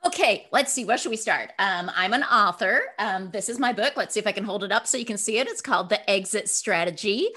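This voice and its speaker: A woman's voice: medium pitch, lively intonation, fairly quick, few pauses, pushed and bright. A woman from Scotland speaking as a guest author in an interview, telling personal stories in an upbeat, effusive, emphatic way. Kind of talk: interview answers, personal storytelling.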